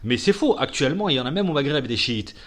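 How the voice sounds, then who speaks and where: A man's voice: mid-range voice, animated, fast talker, a bit bright. A man hosting a podcast interview, hesitant with many fillers, pressing his guest with questions. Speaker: podcast host.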